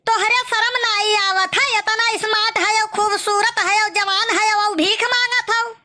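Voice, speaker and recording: A woman's voice: extremely high pitch, fairly even intonation, unhurried, bright, forceful tone, full character voice. A woman playing a scornful young village housewife in a cartoon comedy, scolding sarcastically with an Awadhi accent.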